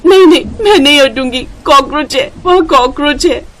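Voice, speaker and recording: A woman voicing a timid, gentle young bride in a family story, anxious and accommodating. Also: high voice, normal speed, voice pushed up. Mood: frightened.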